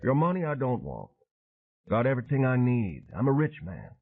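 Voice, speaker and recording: A man voicing a gruff male Vietnam veteran boatman, speaking in clipped, broken phrases on a tinny phone-like recording. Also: mid-range voice, huge pitch swings, normal speed, voice pushed up, heavily acted. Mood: terse, knowing. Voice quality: clipped broken grammar, laconic.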